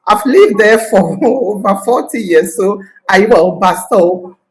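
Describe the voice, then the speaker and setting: An older woman from Ghana with a Ghanaian Ashanti accent touched by Scottish, telling of her years as a teacher in a confident, deliberate way. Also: mid-range voice, expressive, low-quality recording. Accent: Ghanaian, Ashanti (Akan) with some Scottish. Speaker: guest, retired teacher. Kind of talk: interview conversation.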